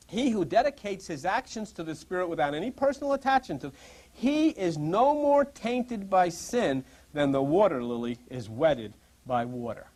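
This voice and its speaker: A man giving an impassioned metaphysical sermon as a spiritual teacher, exhorting conversationally and repeating phrases for emphasis. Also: very high voice.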